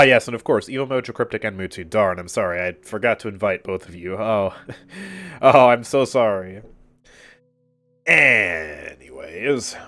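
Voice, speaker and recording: A man's voice: fairly low pitch, fairly bright tone. A man streaming a game from his room, chatting in a casual, upbeat way and laughing often, sounding stuffy and a little nasal from a cold. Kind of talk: casual live gameplay commentary. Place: his own room at home.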